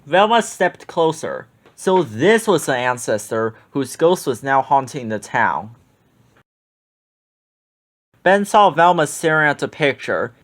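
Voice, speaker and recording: A man reading a children's book aloud in an expressive, lively way. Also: fairly high pitch, slow pace.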